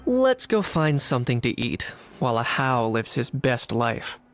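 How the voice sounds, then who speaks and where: A composed young man speaking in a level, dry, matter-of-fact way at a middle pitch. Game music plays faintly behind. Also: big pitch swings, unhurried, fairly bright tone.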